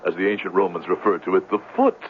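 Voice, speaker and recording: A man's voice: sits low, steady tone, fast talker, close to an everyday voice. An actor playing a grandiloquent, down-on-his-luck con man who poses as a doctor in a 1970s radio drama, speaking in a florid, theatrical way. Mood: grandly persuasive. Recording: tinny, noisy 1970s radio recording.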